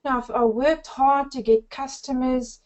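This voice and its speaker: A woman in her forties from South Africa with a South African accent, giving an effusive, upbeat sales pitch on a group call. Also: fairly even intonation, unhurried, darkish tone. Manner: effusive, upbeat, addresses listeners as friends. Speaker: network marketing presenter.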